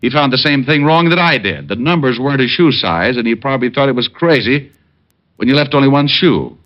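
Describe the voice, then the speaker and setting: An actor playing a cocky, wisecracking private detective in an old-time radio drama, with glib, sarcastic delivery. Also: male voice, medium pitch, animated, brisk, close to an everyday voice. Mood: wry, defiant. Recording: dull vintage radio recording.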